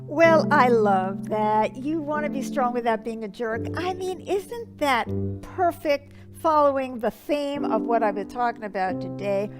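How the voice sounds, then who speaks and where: A woman's voice: fairly high pitch, animated, unhurried, music in the background. A woman voicing a flamboyant, dramatic dead old madame who calls people darling and gives advice. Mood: theatrical. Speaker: advice show persona.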